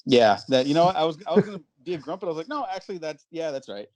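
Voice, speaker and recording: A man hosting a podcast in casual chat, animated and playfully dramatic, often interrupting himself as he moderates a debate. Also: a little high, rapid-fire. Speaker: podcast host.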